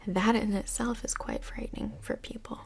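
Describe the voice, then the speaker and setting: A woman playing a calm, tactful counsellor in Hell in an ASMR role play, speaking softly in a whispery, crackly voice with gentle, hesitant phrasing. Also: medium pitch, normal speed, gravelly, slightly rough. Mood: reassuring, explaining. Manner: gentle, hesitant, diplomatic therapist talk.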